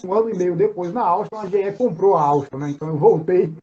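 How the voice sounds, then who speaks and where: High-voiced Northeastern Brazilian man, dull sound. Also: fast, warm tone, a little hiss or hum. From João Pessoa, Paraíba, Brazil. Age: 40s. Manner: informal, frequent tag questions. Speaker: interview guest, mentor. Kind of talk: casual chat, self-introduction. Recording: dull lo-fi online call sound.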